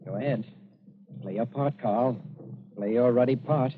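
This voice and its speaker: An actor playing a cool-headed, dryly sardonic British governor in an old-time radio drama. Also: male voice, medium pitch, unhurried, very noisy, lightly acted. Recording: muffled vintage radio recording.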